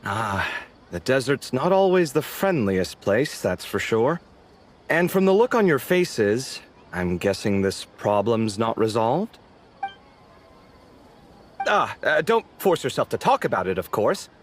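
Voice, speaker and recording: An actor playing an animated, sociable young man who bickers sarcastically with his roommate, in a game cutscene. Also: medium pitch, unhurried, a little hiss or hum. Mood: friendly. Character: expressive sociable young man architect, easily exasperated. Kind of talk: video game cutscene voice acting.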